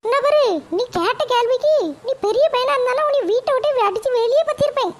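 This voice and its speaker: A woman voicing a quarrelsome young mother, shrill and snapping. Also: fast talker. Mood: angry.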